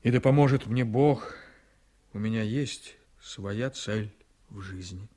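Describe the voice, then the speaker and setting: An actor playing an ambitious, cynical, domineering politician and prosecutor in a radio drama, with a theatrical, emphatic delivery that moves between confiding and fierce. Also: male voice, on the low side, slow pace, dark tone. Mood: bitter, intense. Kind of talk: Soviet radio drama acting.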